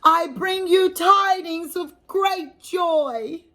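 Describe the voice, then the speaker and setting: A woman voicing an eager, earnest little girl in a children's storybook, excited and emphatic. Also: very high-pitched, drawn-out words, voice pushed up, heavily acted. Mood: proud, joyful.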